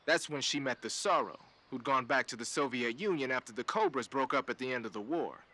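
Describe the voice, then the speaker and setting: A man's voice: mid-range voice, fairly quick, pushed and bright. A man playing a talkative male radio support tech in a game cutscene, with casual, slangy banter. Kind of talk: video game cutscene acting.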